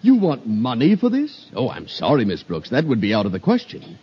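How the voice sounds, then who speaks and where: Droll, wildly swooping pawnbroker. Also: male voice, mid-range voice, wildly swooping pitch, moderate pace, slightly rough. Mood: wry. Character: wry, unhurried male pawnbroker. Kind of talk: 1950s radio comedy acting. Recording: muffled, very noisy 1950s radio, live audience.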